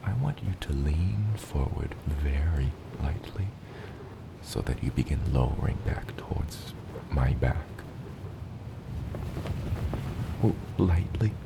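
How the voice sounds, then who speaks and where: A man voicing a gentle, proud dragon father in an ASMR roleplay, tenderly encouraging his hatchling in a gravelly, often breathy and whispered voice. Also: extremely low pitch, very noisy, exaggerated voice. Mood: soothing, guiding. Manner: slow, tender, encouraging, softly playful.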